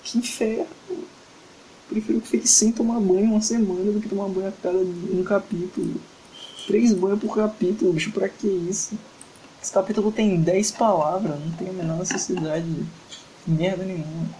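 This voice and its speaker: A man's voice: sits high, fairly even intonation, normal speed, mellow, slight background noise, poor audio. A young man hosting a casual podcast, reading a fanfic aloud and joking, tired and exasperated late at night. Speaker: podcast host.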